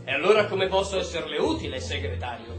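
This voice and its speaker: An actor playing an embittered, volatile army colonel in a radio play, sardonic and mock-polite. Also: male voice, on the high side, lively intonation, fast, reverberant, over music, lightly acted. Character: embittered, volatile male army colonel running a prison camp. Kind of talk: stage-style radio drama acting.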